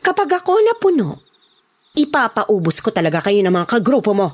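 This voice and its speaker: A woman's voice: mid-range voice, very animated, fairly quick. An actress playing a domineering, hot-tempered woman crime boss in a horror audio drama, scornful and threatening. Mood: scornful, furious. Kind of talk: dramatised horror story acting.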